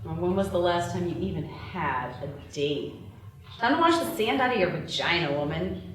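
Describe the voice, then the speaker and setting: A woman's voice: fairly low pitch, lively intonation, normal speed, close to an everyday voice. A woman reading the part of a brash, bossy young criminal in a screenplay table read, blunt and teasing. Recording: echoey room, distant mic, background noise.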